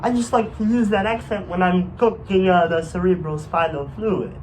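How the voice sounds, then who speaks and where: A man's voice: sits high, steady tone, moderate pace, darkish tone, slight background noise, pitched above the performer's own voice, natural voice, poor audio. A man voicing a suave, laid-back cell chef with a put-on French accent in a comedy science skit. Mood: suave, offering.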